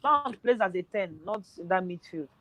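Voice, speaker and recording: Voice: medium pitch, lively intonation, normal speed, pushed and bright, no room sound. A speaker talking football on a casual podcast, thinking aloud with lots of fillers, voice nearly worn out. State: voice tiring, nearly gone.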